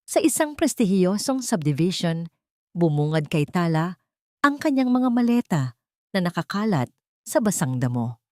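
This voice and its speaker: A woman's voice: fairly low pitch, a little mellow, dry recording, studio quality. A woman narrating a dramatic scripted story in a solemn, emotive way.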